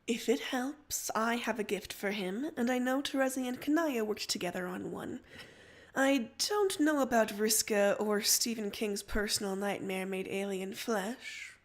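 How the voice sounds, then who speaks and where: A narrator voicing a composed, slyly teasing teenage girl with a patient, purring, measured delivery in a story reading. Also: medium pitch, pitched above the performer's own voice, natural voice.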